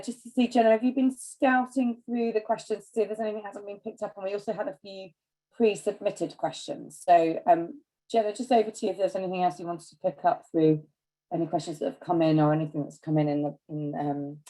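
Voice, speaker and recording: A woman's voice: medium pitch, fairly quick, mellow, poor audio. A woman hosting an online information call, handing over questions in a courteous, conversational way. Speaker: host chairing the call.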